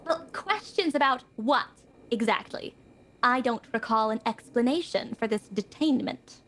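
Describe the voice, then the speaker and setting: Actress playing a posh, haughty house guest. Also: female voice, fairly high pitch, animated, unhurried, a bit bright, slight background noise. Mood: arch. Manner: posh, arch and affected. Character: haughty worldly society woman house guest. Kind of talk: 1920s radio drama acting.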